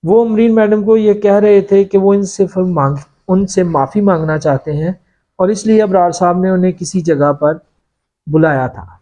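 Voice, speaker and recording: A man's voice: a little high, mellow, muffled recording, lightly acted. A man voicing a long-serving factory worker in a story, an earnest, secretive informant who warns deferentially. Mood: grave recounting. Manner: confiding, cautioning, deferential.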